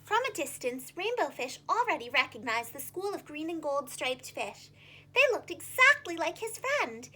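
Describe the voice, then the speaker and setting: High, animated woman reading children's stories. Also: normal speed, a little hiss or hum. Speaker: preschool teacher, storyteller.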